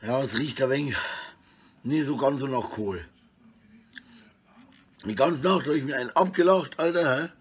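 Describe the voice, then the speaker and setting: A middle-aged man talks into his phone in a room at home. His voice is husky and unclear at a medium pitch. He rambles casually in coarse slang, often tacking on little tag questions. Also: normal speed, pauses often. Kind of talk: rambling casual selfie monologue. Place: small indoor room at home. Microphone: phone at arm's length.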